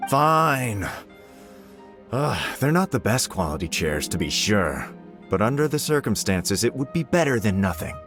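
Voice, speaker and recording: A haughty rich businessman who keeps grumbling. His voice rises and falls with lively indignation. Game music plays clearly behind him. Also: fairly low pitch, unhurried. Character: wealthy, complaining male entrepreneur.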